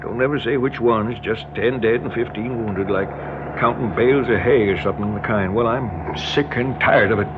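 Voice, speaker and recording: A man's voice: mid-range voice, normal speed, close to an everyday voice. An actor playing a folksy, stubborn rural American father turned kidnapper in an old radio drama, drawling with homespun politeness laced with menace. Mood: bitter, determined. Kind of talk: 1960s radio drama acting. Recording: muffled old radio broadcast, heavy noise.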